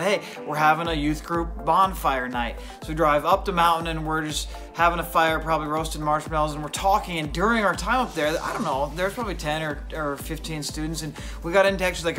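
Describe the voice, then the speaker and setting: A man of forty, a youth pastor, narrating his day in a relaxed, chatty, self-deprecating way. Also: on the high side. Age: 40s. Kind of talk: casual vlog narration.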